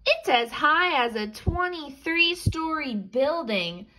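A cheerful holidaying father from a children's storybook, read out by a woman. The delivery is breezy and upbeat, in a high voice with lots of pitch movement. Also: sing-song, slow, background noise, heavily acted. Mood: excited.

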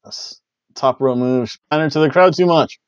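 A man's voice: medium pitch, a little fast, clear, resonant tone, no room sound. Chatty man recapping wrestling on a headset. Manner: chatty, jokey, loose play-by-play with playful exclamations. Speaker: wrestling review host. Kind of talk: casual review chat. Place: small home room with bookshelves, indoors. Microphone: headset mic on a webcam call. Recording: dry, dull lo-fi webcam audio.

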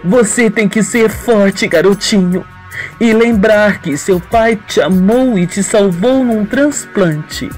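A woman's voice: medium pitch, takes it slowly, darkish tone, faint music behind, voice pushed up, lightly acted. A narrator voicing a scheming, two-faced young woman in a radio soap, with feigned sorrow and haughty defiance. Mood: fake sorrow. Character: scheming, falsely sweet young woman villain.

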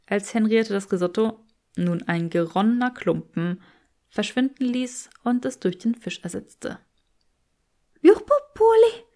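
Lady reading a story audiobook, medium pitch, scripted narration.

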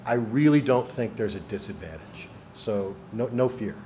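A man's voice: lowish voice, animated, moderate pace, dark tone, slight background noise. A man in his thirties on a panel in a lecture room, speaking frankly and animatedly into a table microphone. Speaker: panelist, public servant. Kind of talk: panel Q&A answer. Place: university lecture room, indoors. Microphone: table microphone, seated.

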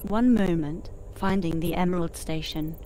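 Mellow female spaceship computer voiced by a woman. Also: lowish voice, slight background noise, close to an everyday voice, rough recording. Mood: calm, procedural. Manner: measured, formal, procedural. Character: calm, obliging female spaceship computer assistant. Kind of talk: scripted game voice assistant prompts.

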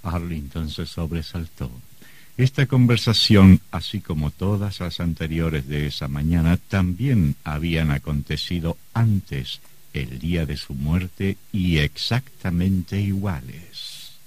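An actor playing a grave, ominous narrator in a scripted radio horror drama. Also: male voice, low-pitched, takes it slowly, a little mellow, husky, no echo, full character voice.

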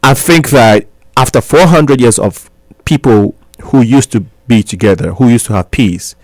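A man's voice: medium pitch, normal speed, darkish tone, dry recording, poor audio. A man in his thirties from Ghana, a guest on a college radio interview, speaking earnestly and persuasively with frequent restarts. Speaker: guest, talk show host. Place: small college radio studio.

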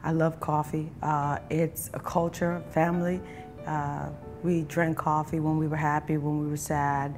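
A woman in her thirties from East Harlem answers interview questions about her cafe with a low, level, slightly gravelly voice. She talks candidly, full of ums and restarts, recorded on a lapel mic, and there is faint music in the background. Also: little pitch movement, unhurried. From East Harlem, New York. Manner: candid, with many fillers and restarts. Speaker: cafe owner. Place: brick-walled cafe, seated on a couch.

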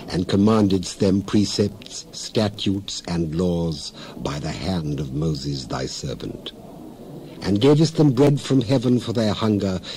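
Male narrator, low and mellow, for solemn dramatized Bible reading; declamatory, measured phrases. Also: slight background noise, rough recording. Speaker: Bible reader.

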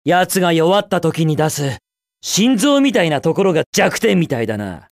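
An actor playing a cocky, upbeat young hedgehog hero in a video game, with a brash, casual, self-assured delivery. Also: male voice, a little high, big pitch swings, moderate pace, no room sound, clean studio sound. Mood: focused, confident. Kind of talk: Japanese video game voice clips.

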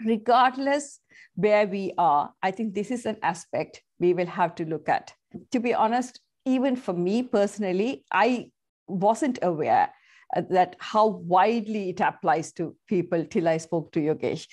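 Middle-aged woman, talk show host on a video call, earnest and welcoming, mid-pitched. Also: darkish tone. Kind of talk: hosting an online talk show. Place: home, virtual studio background.

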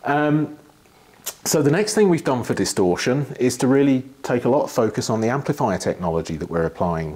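A middle-aged man with a husky voice, giving a technical explanation in an interview, pausing thoughtfully as he phrases things. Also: medium pitch, animated, a little mellow. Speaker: loudspeaker engineer being interviewed. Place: living room with wood panelling and large window.

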